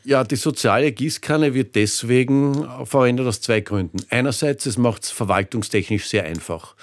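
A man explaining things in a measured way as an expert guest in a radio interview. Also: medium pitch, no echo. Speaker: economist, studio guest.

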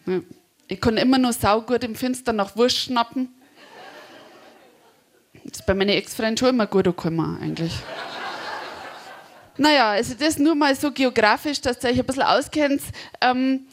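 A woman in her forties with a Bavarian Regensburg accent and a slightly nasal voice, chatty and punchy, switching between a stand-up set and an interview. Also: on the high side. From Bavaria, Germany. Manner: chatty, punchy, self-mocking. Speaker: cabaret comedian.

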